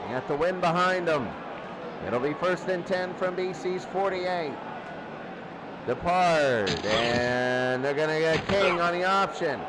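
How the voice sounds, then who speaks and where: A man calling college football play by play in an excitable manner that swells with the action. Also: fairly high pitch, takes it slowly. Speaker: play-by-play sports commentator. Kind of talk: college football radio-style commentary. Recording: noisy recording with loud background hiss.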